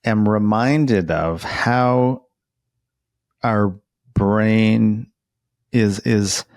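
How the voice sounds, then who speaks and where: A man's voice: on the low side, very slow, darkish tone, dry recording. A man interviewing in a casual, conversational way, reflective and rambling, often hedging as he goes. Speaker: interviewer who works as a therapist.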